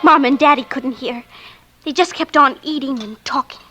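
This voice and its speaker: A woman's voice: sits high, moderate pace, lightly acted. An actress playing an earnest, insistent young girl in an old radio drama, pleading with childlike urgency. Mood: tense recollection. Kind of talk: 1940s-50s radio drama acting. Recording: vintage radio recording with music behind.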